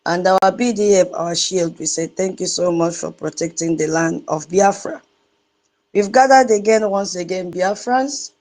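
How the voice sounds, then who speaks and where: A woman's voice: on the low side, moderate pace. A woman with a Nigerian accent hosting a live online audio space, greeting and welcoming listeners by name, some in her own dialect. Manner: greets listeners one by one in dialect, sing-song and warm.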